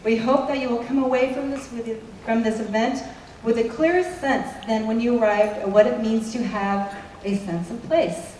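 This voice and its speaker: A woman's voice: a little high, fairly even intonation, moderate pace, a little mellow, very echoey, recorded from a distance, a little hiss or hum, low-quality recording. A woman hosting an event, opening it for the audience in a formal announcing way. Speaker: event host. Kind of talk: event introduction.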